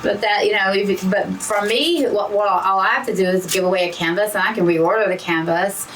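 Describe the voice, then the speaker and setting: A woman co-hosting a casual hobby chat, hoarse and losing her voice, rambling with frequent restarts. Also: mid-range voice, steady tone, fairly quick, fairly bright tone, recorded from a distance, a little hiss or hum, low-quality recording. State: hoarse, losing her voice from talking too much. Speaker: co-host of a diamond painting channel.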